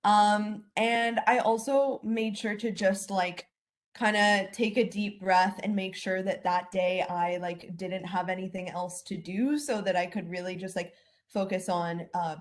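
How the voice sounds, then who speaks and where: A young woman, an alumna, giving earnest advice on a video call, with many fillers. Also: medium pitch. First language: English.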